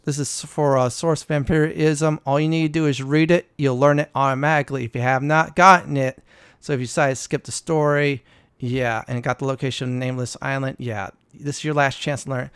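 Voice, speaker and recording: A man's voice: medium pitch, steady tone, brisk, fairly bright tone, smooth, dry recording. Casting: male gaming host, informal and instructive, very clean resonant tone, dry close recording. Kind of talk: casual game walkthrough commentary.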